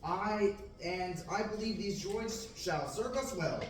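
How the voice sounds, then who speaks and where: A man's voice: high-pitched, slow pace, faint music behind. A young man reading the part of a restless young farm boy in Shakespearean verse at a student table reading, earnest and declaiming. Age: young adult. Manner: earnest, declaiming, occasional slips. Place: large classroom, indoors. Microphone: far camera. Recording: echoey room, from far off.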